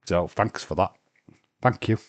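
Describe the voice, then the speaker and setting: Male streamer, low voice, slow unhurried delivery, dry close sound, argumentative and stumbly. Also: dry recording. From England. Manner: argumentative, stumbling over words and laughing. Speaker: livestream host. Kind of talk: casual livestream chat.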